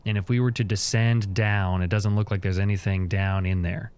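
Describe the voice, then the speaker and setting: A man's voice: lowish voice, little pitch movement, normal speed, a little mellow. A man playing a tabletop role-playing game, thinking aloud and checking details in casual chat that can slip into character.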